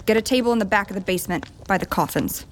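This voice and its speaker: A woman's voice: medium pitch, a little hiss or hum, natural voice. Bossy, sardonic noblewoman played by an actress. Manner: brisk, commanding, dry. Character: bossy, sardonic noblewoman giving curt orders. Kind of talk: scripted audio drama acting.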